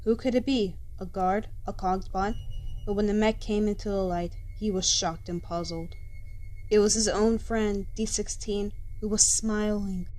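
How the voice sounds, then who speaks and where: A woman's voice: medium pitch, steady tone, a little slow, a little mellow, faint music behind. A woman storyteller reading scripted fan fiction as dramatic narration.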